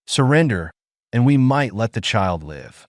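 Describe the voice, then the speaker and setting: A man's voice: medium pitch, big pitch swings, unhurried, dry recording, clean studio sound. A man voicing a menacing armored enemy soldier with a cold, threatening manner in an audiobook. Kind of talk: audiobook character dialogue.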